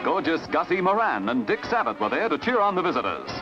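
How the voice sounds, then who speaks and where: An Australian man reading scripted 1950s newsreel narration in a brisk, colourful announcer's delivery, on a vintage soundtrack. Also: fairly high pitch, big pitch swings, dull audio. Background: music.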